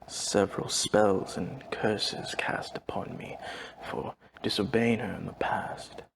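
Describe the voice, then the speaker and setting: A man playing an emotionless, courteous old vampire manservant in an audio roleplay, speaking formally and deadpan in a gravelly, breathy husk. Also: on the low side, a little hiss or hum, rough recording. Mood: flat, solemn. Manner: formal, measured, deadpan, no contractions. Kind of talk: audio roleplay acting, addressing the listener.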